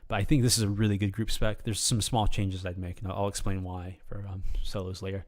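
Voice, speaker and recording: Slightly hoarse man, rambling game walkthrough. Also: fairly low pitch, a little fast. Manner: rambling, hesitant, full of ums and restarts; relaxed, casual explaining. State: slightly hoarse, losing his voice. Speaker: game guide video creator. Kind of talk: unscripted game tutorial commentary.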